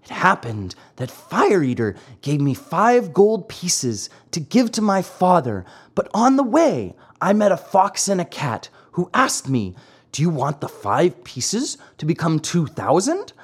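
A narrator voicing a whiny, stubborn little wooden boy marionette in a high, squeaky, childlike storybook voice. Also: sing-song, unhurried, breathy edge. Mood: excited, breathless telling. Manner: whining, childlike, pleading.

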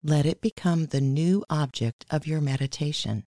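A woman hosting and leading a guided meditation in a measured, instructional way, reading scripted narration. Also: sits low, dark tone, dry recording, studio quality. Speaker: meditation guide and podcast host.

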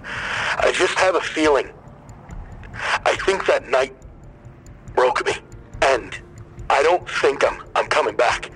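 Slow, halting, broken man. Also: mid-range voice, lively intonation, fairly bright tone, low-quality recording. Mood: despondent, hesitant. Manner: halting, unsure, trailing off. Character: broken, despondent man leaving a hesitant voicemail. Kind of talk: audio drama monologue.

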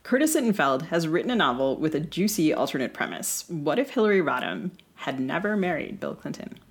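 A woman's voice: deep voice, very animated, brisk. A woman co-hosting a podcast, reading a scripted introduction in an emphatic, rhetorical way. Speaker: podcast co-host.

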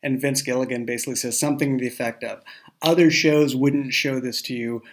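Gravelly-edged man chatting enthusiastically. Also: medium pitch, steady tone, a little fast. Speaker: audio drama creator and writer. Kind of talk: casual podcast discussion.

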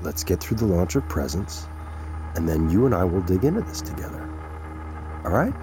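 Pragmatic male executive for audio drama: low, very animated delivery, persuasive, faint music underneath. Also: normal speed. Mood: exasperated, placating. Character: pragmatic, placating male tech executive and friend. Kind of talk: naturalistic audio drama acting.

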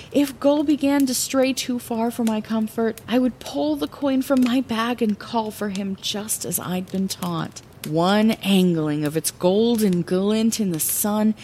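A woman playing an earnest young female bard, telling her own story in an expressive, lyrical fantasy audio drama narration. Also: on the high side, a little hiss or hum. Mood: reflective.

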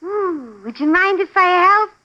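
A woman's voice: very high-pitched, expressive, unhurried, pushed and bright, heavily acted. An actress playing a naive, lovelorn young woman in a 1950s radio drama, plaintive and slangy, with an American accent. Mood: curious, plaintive. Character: lovelorn, dim, persistent young woman pining to marry. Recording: muffled vintage 1950s radio recording.

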